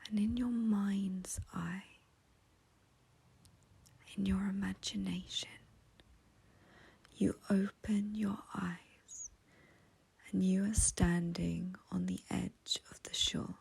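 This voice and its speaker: A woman leading a guided meditation in a soft, breathy, whispery voice, gentle and soothing, with long pauses. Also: mid-range voice, steady tone.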